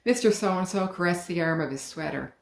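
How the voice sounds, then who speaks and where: Middle-aged woman, low gravelly voice. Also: brisk. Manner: calm, clear storytelling with lively emphasis. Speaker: author. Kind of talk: reading her own children's book aloud. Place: living room at home. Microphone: webcam, head and shoulders. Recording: roomy living room.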